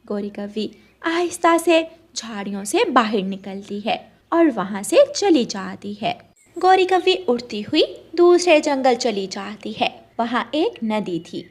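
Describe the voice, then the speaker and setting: A woman narrates a children's cartoon story in a high voice. Her delivery is scripted storytelling. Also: expressive, measured pace.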